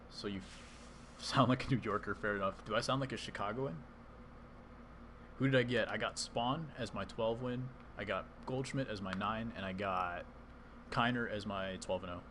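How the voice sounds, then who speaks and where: Lowish guy streaming a baseball game, chatting w chat, noisy mic hiss behind. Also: fairly bright tone. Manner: chatty, reads and answers chat while playing. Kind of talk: casual live-stream commentary.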